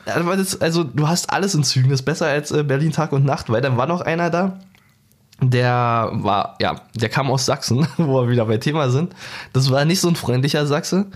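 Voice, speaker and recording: A man's voice: medium pitch, brisk. Man ranting about his train trips. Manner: ranting anecdotes, joking. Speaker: podcast co-host. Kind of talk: casual chat, storytelling.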